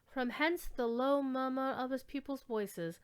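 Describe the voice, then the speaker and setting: Clear, high-voiced woman reading a classic tale. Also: measured pace. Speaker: storyteller reading aloud. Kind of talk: dramatic reading of classic literary fiction.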